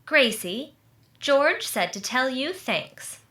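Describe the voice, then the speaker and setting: Lady doing helpful radio comedy pal, high bright voice, real singsong, takes her time. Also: very animated, takes it slowly, full character voice. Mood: dutiful. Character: obliging go-between friend in a radio comedy. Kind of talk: 1940s radio comedy acting.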